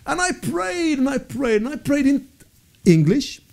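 Afrikaans-native male teacher, 40s, high mellow voice, earnest and self-correcting, studio lapel mic. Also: measured pace, vocal fry. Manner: earnest, animated hand gestures, frequent self-corrections. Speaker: Bible teacher. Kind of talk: teaching session to camera. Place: studio with dark backdrop.

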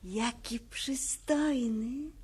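Gentle, slow-spoken troll mother. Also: a little high, husky, full character voice. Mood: sympathetic. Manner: gentle, polite, naive. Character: kindly, naive troll mother, polite and curious. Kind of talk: Polish radio play acting.

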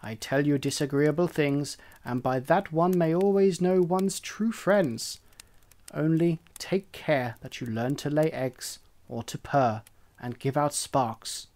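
A storyteller voicing a conceited, bossy hen who lectures in a raised, high voice. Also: steady tone, takes it slowly, a little mellow. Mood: scolding. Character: bossy conceited hen, scolding and self-important. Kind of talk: storybook reading.

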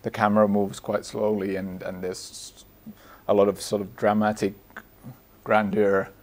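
A man discusses film casually as a podcast co-host, in a hesitant, halting way. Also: lowish voice, little pitch movement, a little mellow. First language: Finnish. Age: 40s. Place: small indoor stage with curtains, seated at a table.

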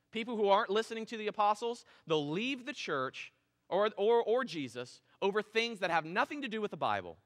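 A man in his thirties preaching a casual, animated sermon on a church stage. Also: high voice. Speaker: pastor. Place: church stage with drum kit. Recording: long hall reverb in church.

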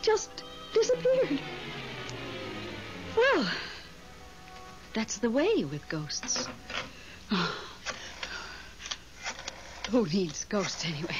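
High-voiced wistful widow, old radio. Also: female voice, wildly swooping pitch, very slow, long pauses, over music, full character voice. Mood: wry. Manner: emotional, theatrical. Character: devoted, wistful middle-aged widow, insistent and plaintive. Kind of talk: 1970s radio drama acting. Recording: dull, noisy old radio broadcast recording.

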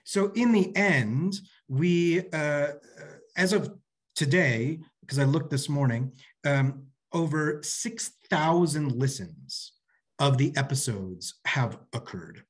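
A man's voice: on the high side, animated, slow, breathy edge. A man in his forties giving an informal presentation over a video call from an office, as a theatre director. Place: small office, indoors. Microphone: computer mic on a video call.

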